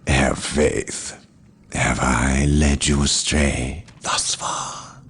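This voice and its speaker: A man playing a menacing, scheming dark fantasy villain in a game cutscene, theatrical and sinister with a gravelly voice. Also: extremely low pitch, level tone, very slow, slight background noise, full character voice. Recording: loud music behind.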